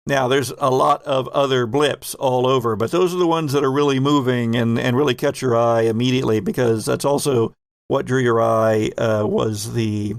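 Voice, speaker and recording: A man with a gravelly, creaky voice works as a tabletop game master, recorded close and very dry. He improvises the story conversationally, speaking straight to his players. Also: mid-range voice, steady tone, normal speed, no room sound. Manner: conversational narration, addresses players directly.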